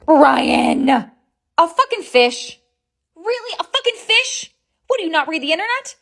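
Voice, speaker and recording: A woman's voice: extremely high pitch, big pitch swings, unhurried, vocal fry, a bit bright. A woman doing a comedic, exasperated rant while swiping through dating app profiles, swearing and firing off jokes.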